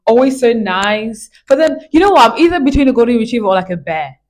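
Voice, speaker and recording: A woman in her twenties from Israel, a podcast guest chatting casually with a friend, animated and quick to laugh. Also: fairly high pitch, a crowd in the background. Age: 20s. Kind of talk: casual chat.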